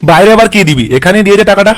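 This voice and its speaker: Casting: male actor as corrupt police officer, comedy cartoon. High male pitch, rapid-fire, swaggering, foul-mouthed, glib. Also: expressive, dry recording. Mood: dismissive. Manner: swaggering, coarse, glibly reassuring. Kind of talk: comic cartoon dub dialogue.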